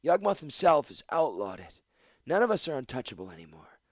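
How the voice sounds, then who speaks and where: A man voicing a wary, ragged outcast woman who speaks in a hushed, prim, raised voice. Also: fairly high pitch, sing-song, brisk, no echo, studio quality, voice pushed up.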